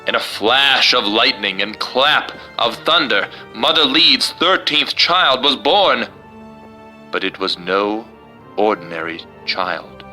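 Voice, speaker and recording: A man's voice: on the low side, expressive, slow pace, pushed and bright, faint music behind. A man hosting and reading a storybook, moving between casual chat and a theatrical, suspenseful delivery. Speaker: host and storyteller.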